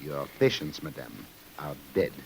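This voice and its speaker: An actor playing a stern, commanding French police commissioner in a formal 1950s radio drama style. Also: male voice, extremely low pitch, animated, measured pace, creaky, breathy edge, full character voice, rough recording. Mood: accusing. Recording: hissy old radio recording.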